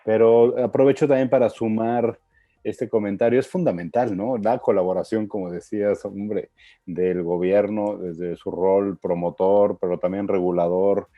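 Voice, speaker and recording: A man hosting a webinar, asking long conversational questions and adding soft affirmations. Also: lowish voice, fairly even intonation, normal speed, dry recording. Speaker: webinar host. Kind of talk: interview questions. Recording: dull dry lo-fi audio.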